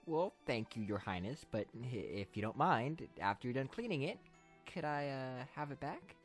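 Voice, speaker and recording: A man's voice: mid-range voice, very animated, normal speed, faint music behind. A young man voicing an eager, awkward cartoon unicorn squire with an earnest, polite manner. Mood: nervous. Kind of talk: fan cartoon voice acting.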